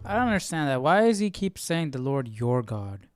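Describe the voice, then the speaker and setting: A man's voice: medium pitch, big pitch swings, normal speed, soft background music. A man hosting with casual live commentary, reacting informally and musing aloud. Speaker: host.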